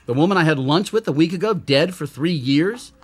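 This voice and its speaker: A man's voice: on the high side, animated, fairly quick, husky. An actor in a radio drama playing a bewildered, agitated young man who doubts his own sanity. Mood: baffled, frustrated.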